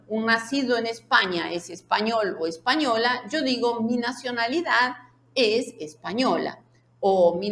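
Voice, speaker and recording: A woman's voice: mid-range voice, fairly bright tone. A woman teaching a language lesson in a didactic way, laying out example sentences and checking in with short questions. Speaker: Spanish teacher. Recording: echoey room sound.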